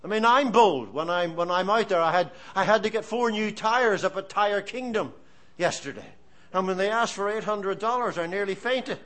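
A man's voice: high-pitched, normal speed. A man in his seventies with an Irish accent, preaching a sermon in an earnest, storytelling way. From Ireland. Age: 70s. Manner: animated, storytelling, earnest exhortation.

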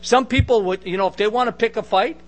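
Man, middle-aged, preacher, booming voice, emphatic, fairly high voice, faint electrical hum. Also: fast talker. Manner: booming, emphatic, conversational asides. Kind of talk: sermon.

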